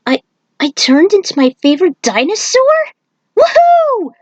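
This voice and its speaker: Woman acting an excitable, high-pitched fighter girl. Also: unhurried, no room sound, dull audio, exaggerated voice. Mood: amazed, gleeful. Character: Aiko, excitable, childlike female fighter. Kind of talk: character voice in fan fiction reading.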